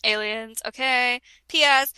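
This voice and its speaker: A woman's voice: fairly high pitch, steady tone, slow pace, bright, forceful tone, clean tone, dry recording, clean studio sound. A woman giving a casual comic reading of a parody fan story, giggling and breaking off for joking asides. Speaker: reader of a parody fan fiction.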